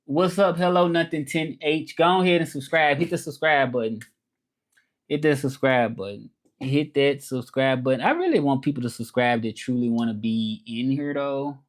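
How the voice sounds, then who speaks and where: A man with a Southern American accent hosts a relaxed live stream in a mid-pitched voice. He talks straight to his viewers, repeats phrases and slides from English into French mid-thought. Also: normal speed. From Memphis, Tennessee, USA. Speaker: live streamer and language teacher. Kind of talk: casual live-stream chat, switching between English and French.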